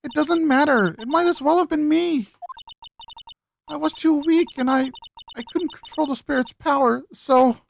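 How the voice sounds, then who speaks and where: A woman's voice: high voice, moderate pace, creaky, soft background music, pitched above the performer's own voice. A woman voicing a distraught, guilt-ridden teenage girl, a fragile spirit medium in a video game, earnest and emotional.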